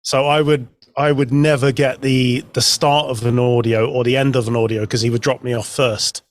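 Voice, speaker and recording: A man speaks as a podcast guest, casual and anecdotal. His pitch is middling and the close recording is very dry. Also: flat delivery, moderate pace, no room sound.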